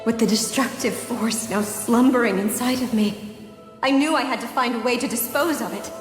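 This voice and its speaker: An actress playing a remorseful ancient maiden, speaking a solemn, measured, sorrowful monologue in a video game. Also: female voice, fairly high pitch, lightly acted. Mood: grave resolve. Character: remorseful ancient maiden confessing her doom. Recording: echoing long reverb, music behind.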